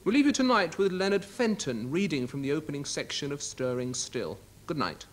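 British male presenter, slightly higher voice. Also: sing-song, fairly quick, slight background noise. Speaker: television arts programme presenter. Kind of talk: scripted presenting to camera. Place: dark television studio.

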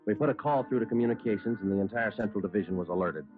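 A man playing a deadpan American police sergeant narrating a radio crime drama. His low voice moves briskly and clipped, matter-of-fact to the end. It sounds like a muffled old broadcast with music. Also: natural voice. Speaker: detective narrator.

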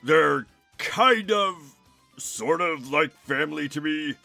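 A man voicing a big, bossy, boastful turtle monster king with a rough, gruff growl in a cartoon. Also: fairly high pitch, expressive, takes it slowly, bright, forceful tone, no echo, heavily acted. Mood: gruff explaining. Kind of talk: cartoon fan-dub character acting. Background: music.

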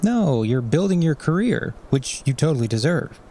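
An actor playing a weary, kind, overworked office colleague in a naturalistic audio drama, earnest and a little hesitant. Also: male voice, on the high side, very animated, moderate pace, mellow. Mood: warm, encouraging.

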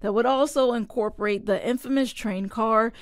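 A young woman reporting local news with a fairly high, clear voice. She reads her lines in a measured, polished newsreader manner, steady and even. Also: no room sound.

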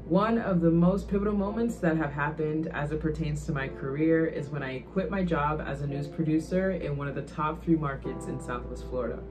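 A woman with a very low voice speaks as a professor sharing a personal testimonial. She sounds around her thirties. Guitar music plays clearly behind her. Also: a little fast, hardly any pauses. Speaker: communications professor, former news producer.

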